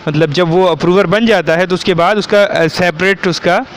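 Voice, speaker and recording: A man with a Pakistani accent giving an explanatory law lecture, alternately reading out and explaining, sometimes halting word by word. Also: high voice, slight background noise.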